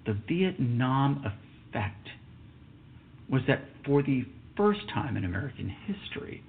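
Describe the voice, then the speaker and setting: A man in his fifties from Texas hosting a solo podcast, telling a story in a reflective, emphatic way and dropping to a whisper for asides. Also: medium pitch. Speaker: podcast host. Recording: tinny phone-like sound.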